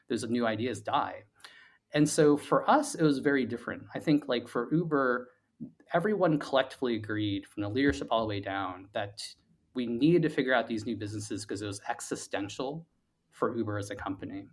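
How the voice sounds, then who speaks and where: A man speaking as an interview guest, a founder explaining his thinking in a halting, conversational way with repeated words. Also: medium pitch.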